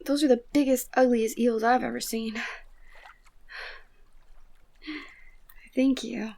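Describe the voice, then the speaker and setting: A woman playing a tender, excitable young princess in love in a romantic ASMR roleplay, talking haltingly and often slipping into a whisper. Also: fairly high pitch, gravelly. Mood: relieved, playful.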